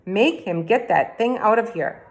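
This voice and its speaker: A woman reading aloud, voicing a loud, pompous middle-aged man who booms his words. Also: animated, a little slow. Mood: booming. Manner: booming, pompous.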